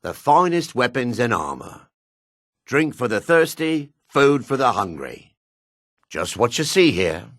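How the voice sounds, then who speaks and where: An actor playing a gruff, suspicious middle-aged dark elf man in a fantasy video game, raspy and curt with a wary, sneering edge. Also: mid-range voice, big pitch swings, a little slow, no room sound, clean studio sound. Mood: matter-of-fact selling. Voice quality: gravelly, raspy. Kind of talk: video game character barks.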